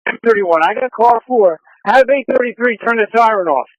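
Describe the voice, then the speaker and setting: A man with a high-pitched voice comes over a dull police scanner. He is an officer in the field, giving clipped, urgent reports. The sound is dry and radio-processed. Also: normal speed, dry recording.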